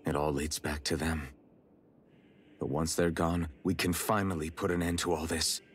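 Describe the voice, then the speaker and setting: A man's voice: a bass voice, flat delivery, normal speed, a little hiss or hum. A man playing an earnest, resolute young hero in a fantasy video game cutscene.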